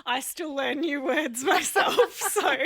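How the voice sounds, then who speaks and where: A woman's voice: high-pitched, slow pace, a bit bright, no room sound, studio quality. A woman hosting a podcast, reading a scripted intro and asking friendly questions. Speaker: podcast host.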